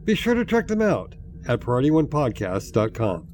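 Male co-host, middle pitch, easygoing, teasing, reads promos smoothly. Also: huge pitch swings, normal speed, a little mellow, slight background noise. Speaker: podcast co-host. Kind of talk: scripted outro, casual chat.

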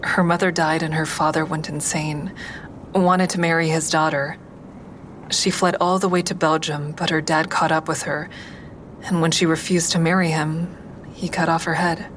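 A crisis-line woman in an audio drama, her voice pitched low. She is measured and coaxing with callers, dryly frank when narrating her thoughts. A clear rumbling noise runs underneath. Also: little pitch movement, a bit bright. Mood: calm, coaxing. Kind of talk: full-cast audio drama, first-person narration and dialogue.

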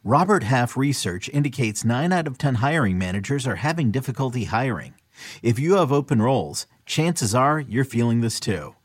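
A man announcing a scripted ad with smooth, even promotional delivery. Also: medium pitch, normal speed, no room sound, clean studio sound. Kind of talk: scripted ad read.

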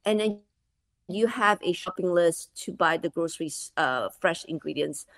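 A middle-aged woman from Malaysia, a guest talking in an animated, conversational way with many restarts. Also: lowish voice, normal speed, no room sound, clean studio sound. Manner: animated, with frequent restarts and "you know". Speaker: guest, food subscription founder. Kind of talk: conversational interview.

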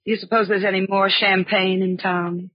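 A woman plays a composed American wife with a husky voice. She is dry and gently teasing, in 1940s radio style. The recording is muffled. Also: medium pitch, little pitch movement, moderate pace, no room sound, natural voice. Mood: dryly reproachful. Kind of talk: 1940s radio drama acting.

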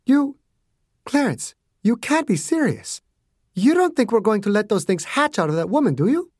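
A man voicing a small, fierce woman doctor who shouts, swears and accuses, in an audiobook. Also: sits high, big pitch swings, moderate pace, dry recording, studio quality, voice pushed up. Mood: furious.

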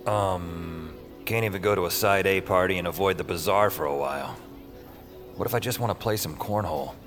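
Wry self-deprecating young guy, lowish voice, casual fiction podcast lead with music underneath. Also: brisk, fairly bright tone. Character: wry, self-deprecating young man, narrating his bewildering adventures. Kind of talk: audio fiction acting with first-person narration.

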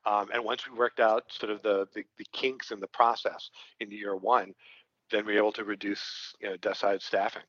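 A man's voice: on the low side, little pitch movement, moderate pace, a bit bright, no echo, dull audio. A man from Brooklyn with a Brooklyn accent, a company co-founder explaining his work in a casual interview, full of fillers and restarts. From Brooklyn, New York. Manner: rambling, lots of fillers and restarts.

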